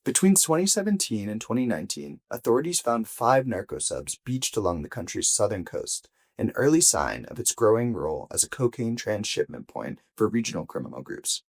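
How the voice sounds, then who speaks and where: A man reading a scripted audio news investigation as a journalist, with measured, deliberate phrasing and emphatic pauses. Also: on the low side, slightly rough.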